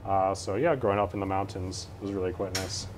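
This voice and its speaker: A man's voice: low-pitched, steady tone, a little fast, background noise. A young Canadian man, a student chef, explaining a dish during a live cooking demonstration with many "uh" fillers. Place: large teaching kitchen studio.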